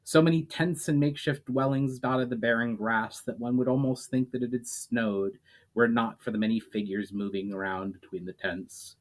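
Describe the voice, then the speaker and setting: A man's voice: mid-range voice, moderate pace. Man reading his own fantasy novel aloud. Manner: hesitant intro with many fillers, steady storytelling read with small restarts. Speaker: self-published author.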